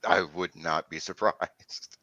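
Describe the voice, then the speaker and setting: A man's voice: deep voice, flat delivery, takes it slowly, creaky, pushed and bright, no room sound, dull audio. A man chatting casually in a coarse, wry manner. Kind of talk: casual chat.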